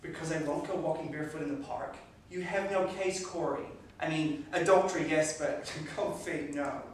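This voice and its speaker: An actor playing a wry, deadpan young lawyer husband who wants to go to bed, growing exasperated, in classic film acting. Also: male voice, on the high side, normal speed, slight background noise, lightly acted. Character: young husband, dry, sleepy, unflappable lawyer. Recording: echoey film soundtrack from a distance.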